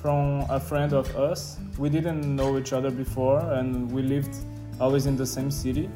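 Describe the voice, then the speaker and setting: A man's voice: mid-range voice, flat delivery, moderate pace. Young Brazilian-accented man over guitar music. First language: Portuguese. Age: 20s. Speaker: interviewee. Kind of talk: casual personal storytelling. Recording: clear guitar music behind the voice.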